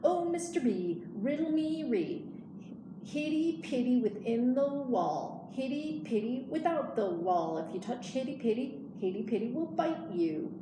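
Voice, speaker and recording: A woman's voice: high voice, very animated, a little slow, pitched above the performer's own voice. Storybook squirrel lad, played by a woman: impudent, teasing, chanting riddles in a lifted sing-song, roomy echo and noise around. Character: cheeky young male squirrel singing taunting riddles. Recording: echoey room, noisy background.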